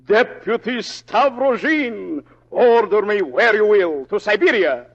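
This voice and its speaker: A boastful sidekick in an old radio play, with a husky, fairly high voice. He speaks in florid, grandiose bursts, eager and comically downtrodden. The broadcast sounds dull and aged. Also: lively intonation, slow, heavily acted. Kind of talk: 1940s radio comedy acting.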